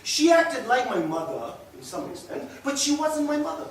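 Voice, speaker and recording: A man acting a passionate, boastful, unrepentant young womanizer in a theatrical stage monologue, swinging between hushed murmurs and loud declarations. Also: very high-pitched, huge pitch swings, moderate pace, full character voice. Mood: boastful, nostalgic. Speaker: actor. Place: echoey indoor room, recorded from a distance. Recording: echoey room, distant mic, faint noise.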